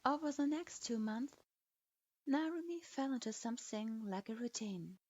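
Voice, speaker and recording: A woman reading a fan fiction story aloud in an even storytelling manner. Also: a little high, moderate pace. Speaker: story reader. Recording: dull lo-fi.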